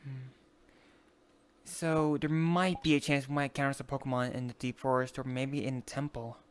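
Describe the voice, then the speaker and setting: A roleplay player talking in character in an online game, halting and rambling as they explain things. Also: medium pitch, flat delivery, clean tone.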